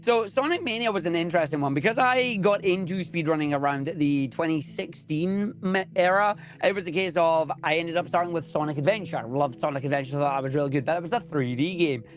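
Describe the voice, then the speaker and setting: Chatty Scottish man, slightly high voice. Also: brisk, hardly any pauses, faint music behind. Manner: enthusiastic, chatty, joking. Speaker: speedrunner guest. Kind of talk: casual interview chat.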